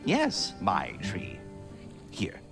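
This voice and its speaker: A man's voice: low voice, huge pitch swings, very slow, vocal fry, a bit bright, faint music behind, full character voice. A man voicing a fussy, polite squirrel in a fantasy video game, with florid, theatrical and courteous phrasing. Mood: fussy, genteel. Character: fussy, polite, verbose giant squirrel gourmand.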